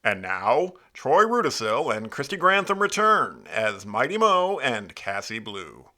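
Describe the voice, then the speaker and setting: Animated male radio play announcer. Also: mid-range voice, measured pace. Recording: prominent music under the announcement.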